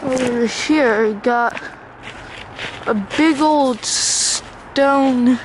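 Casual outdoor vlogger, highish voice, very drawn-out unscripted delivery, handheld camera sound with noticeable background noise. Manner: halting, unscripted. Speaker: vlogger exploring ruins. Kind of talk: casual narrated walk-through vlog. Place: outdoors in woods by a river and bridge. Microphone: handheld camera mic, operator behind camera. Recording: noisy outdoor camera audio. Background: ambient outdoor noise, passing vehicles.

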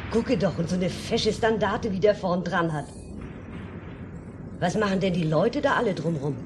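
Actress as placid, chatty housewife on noisy old radio. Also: female voice, lowish voice, moderate pace, close to an everyday voice. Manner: homely, chatty, unconcerned. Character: placid, naively chatty housewife untroubled by war. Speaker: actress playing the mother. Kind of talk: 1950s radio drama acting. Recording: noisy 1950s mono radio recording.

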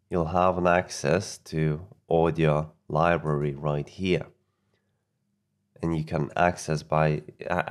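Slow, very deep-voiced man giving a tutorial. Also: darkish tone. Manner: halting, often repeats words. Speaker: audio editing tutorial presenter. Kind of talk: software tutorial narration, unscripted.

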